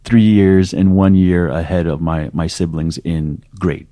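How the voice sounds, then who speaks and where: A man from Pakistan, a native Urdu speaker, speaking as a guest executive with a very low, dark voice. The recording is dry and close, and his answers are calm and matter-of-fact, often dropping off quietly at the ends of phrases. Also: a little slow, no echo. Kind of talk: conversational interview.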